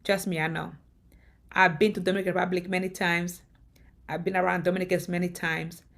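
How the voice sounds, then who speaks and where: A woman from Africa speaking in a fairly low register. She addresses her viewers in a relaxed vlog style and presses her points home by repeating them with conviction. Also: fairly quick, gravelly. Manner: emphatic, repeats points insistently. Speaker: vlogger. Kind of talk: casual talk to camera.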